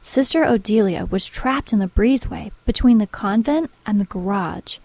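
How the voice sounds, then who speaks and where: Actress as an earnest nun, close and dry. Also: female voice, medium pitch, expressive, darkish tone, no echo. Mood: grave narration. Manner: measured storytelling, primly earnest. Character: earnest, proper nun recounting strange events. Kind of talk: dramatic narration in audio drama.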